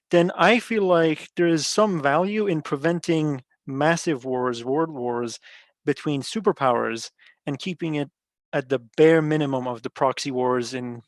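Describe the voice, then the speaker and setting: A young man from Iran who speaks English as a talks on a video call in a fairly high voice. He is hesitant, fills gaps with "um" and "uh", and stops to look for the right words. The sound is dry and close. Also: no room sound. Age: 20s. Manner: hesitant, many fillers, searching for words. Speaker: meetup participant. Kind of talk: online group discussion.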